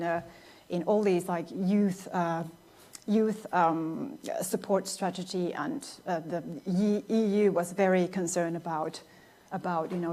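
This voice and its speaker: A woman in her thirties from Finland delivers a lecture in her second language, her pitch sitting in an ordinary middle range. She talks in a thoughtful, searching way, restarting phrases and leaning on fillers as she finds her words. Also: darkish tone. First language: Finnish. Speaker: guest lecturer. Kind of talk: academic lecture.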